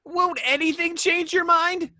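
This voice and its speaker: A woman's voice: very high-pitched, unhurried, no room sound, studio quality, heavily acted. A woman voicing a frantic, pleading, naive male cartoon rabbit in an amateur table read of a film script. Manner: pleading, excitable.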